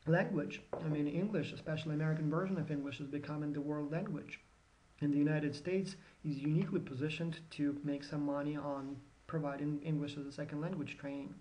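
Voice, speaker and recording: Man, university lecturer, higher-pitched voice, conversational, halting restarts. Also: darkish tone, rough recording. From Ukraine. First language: Ukrainian. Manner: conversational, with frequent fillers and restarts.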